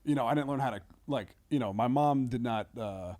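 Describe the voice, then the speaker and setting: A man's voice: medium pitch, fairly quick, mellow. Rambling man in casual podcast chat. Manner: hesitant, rambling, hedges and backtracks.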